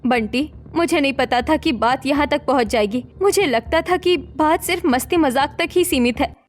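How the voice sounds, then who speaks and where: A woman playing a protective, reproachful young wife in an animated family melodrama, speaking emphatically. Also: high voice, normal speed, natural voice. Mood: defensive. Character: distressed young married woman, protective, reproachful daughter-in-law.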